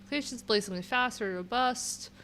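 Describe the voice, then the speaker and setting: A woman's voice: a little high, brisk, pushed and bright. Casual, wry woman streamer over background noise. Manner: wry, informal, rambling asides. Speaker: livestream host, web developer. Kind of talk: casual livestream chat, reading posts aloud.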